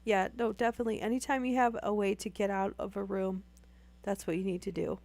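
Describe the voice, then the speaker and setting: A woman's voice: medium pitch, fairly even intonation, fairly quick, darkish tone. Woman streamer losing her voice. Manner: chatty, rambling, many fillers. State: losing her voice, sore throat. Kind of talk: casual chat with live viewers.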